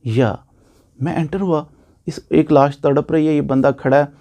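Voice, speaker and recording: A man's voice: a little high, steady tone, measured pace, dark tone. A man with a Pakistani accent giving an informal law lecture, explaining by repeating points and posing questions that he answers himself.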